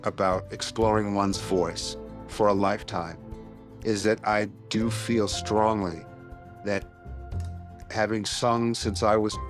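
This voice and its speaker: A man in his fifties with a low voice speaks reflectively. He has sung professionally for decades. Music plays clearly underneath. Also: little pitch movement, takes it slowly. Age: 50s. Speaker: professional singer. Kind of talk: interview talk. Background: music bed.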